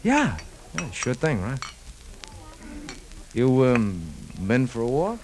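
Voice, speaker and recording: An actor playing a chatty, nervous, loyal American barkeep in a 1950s radio drama, talking in a folksy, hesitant and excitable way. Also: male voice, mid-range voice, slow, slight background noise. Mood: tentative, friendly. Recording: old radio broadcast with music.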